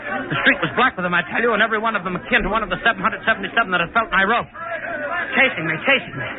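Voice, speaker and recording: A man's voice: sits high, racing, a crowd in the background, lightly acted. An actor playing a callous, guilt-crazed hangman in a melodramatic 1940s radio horror style, repeating his phrases obsessively. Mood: panicked. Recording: noisy, tinny 1940s radio recording.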